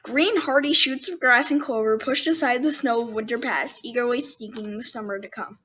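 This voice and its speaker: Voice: mid-range voice, moderate pace, bright, forceful tone, muffled recording. A ten-year-old child host reading a storybook aloud, with casual asides to the listeners. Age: 10 years old.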